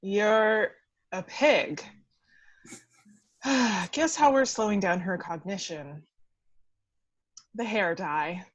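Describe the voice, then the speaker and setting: A woman playing a brisk, clinical lab technician with a deadpan edge in a film script. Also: medium pitch, dull audio. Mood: dry, disdainful.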